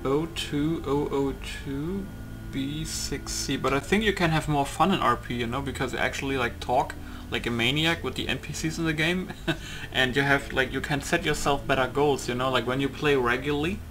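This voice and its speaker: A man's voice: medium pitch, normal speed, few pauses, music in the background. A man giving casual, rambling playtest commentary on a video game, thinking aloud. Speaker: gaming video maker. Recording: game noise behind the voice. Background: video game ambience.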